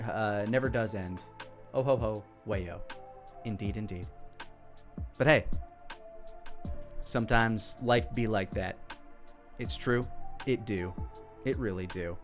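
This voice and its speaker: A man's voice: on the low side, a little slow, pauses often, faint music behind. A man in his thirties giving casual reaction commentary as host, rambling with joking, self-deprecating asides. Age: 30s. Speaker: host commenting on own abridged anime series.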